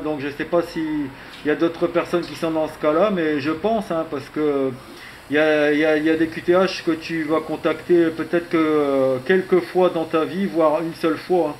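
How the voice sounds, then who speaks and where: A man chats casually as an amateur radio operator, in a conversational, halting way with frequent little tag words. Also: fairly high pitch. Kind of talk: casual radio contact chat. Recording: faint background hiss.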